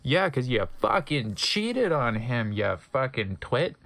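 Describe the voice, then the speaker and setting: A man's voice: medium pitch, very animated, slow, vocal fry, a bit bright, no echo, full character voice. A man voicing a sulky, bitter teenage wizard boyfriend in a story, scornful and accusing.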